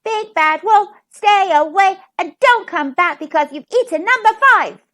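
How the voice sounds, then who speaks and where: A woman's voice: extremely high pitch, animated, a little slow, a bit bright, voice pushed up, heavily acted. A woman voicing little chicks and ducklings in a children's story, in a taunting sing-song chant.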